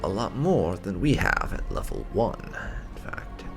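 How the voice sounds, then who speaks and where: Deep-voiced man with very animated pitch. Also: unhurried, creaky, music in the background. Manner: wry, chatty. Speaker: gaming video host. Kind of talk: casual let's play commentary.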